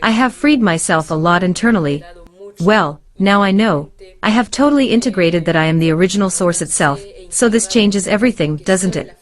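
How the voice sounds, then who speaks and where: A woman's voice: medium pitch, animated, normal speed. A woman translator answering interview questions in a reflective way, repeating phrases for emphasis.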